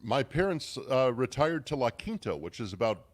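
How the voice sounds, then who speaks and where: A man who grew up in California runs a morning radio show in a loose, joking, chatty way. His pitch rises and falls a lot, which keeps the talk animated as he trades banter with his co-hosts from away from the studio. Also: normal speed.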